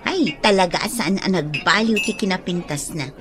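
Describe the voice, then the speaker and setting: A woman's voice: fairly low pitch, lively intonation, moderate pace, close to an everyday voice. An actress playing a teasing, frank young woman who nudges a friend toward romance in a radio drama.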